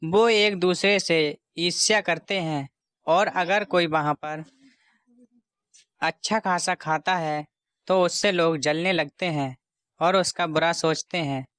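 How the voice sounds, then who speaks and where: Man playing a pleading, weary soul, higher voice. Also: slow pace, a bit bright, clear, resonant tone, no echo. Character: Ramu, weary pleading soul bargaining with God. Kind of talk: Hindi cartoon moral story dialogue.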